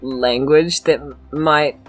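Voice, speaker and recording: A woman's voice: sits low, fairly even intonation, very slow. A woman chatting casually as a player in a tabletop game. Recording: faint music bed behind speech.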